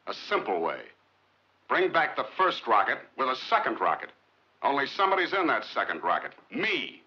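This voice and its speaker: An actor playing a brooding, determined scientist in a 1950s film drama, with clipped, earnest, sardonic delivery. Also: male voice, medium pitch, wildly swooping pitch, normal speed, sharp tone, breathy edge, full character voice. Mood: defiant, determined. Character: brooding, determined male rocket scientist accused of murder. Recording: muffled 1950s film soundtrack.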